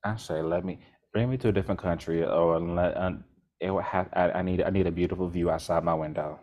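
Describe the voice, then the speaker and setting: A man's voice: low-pitched, brisk, muffled recording. A man co-hosting a podcast, talking casually in a rambling way with bursts of energy. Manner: rambling, restarts often, animated bursts. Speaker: podcast co-host.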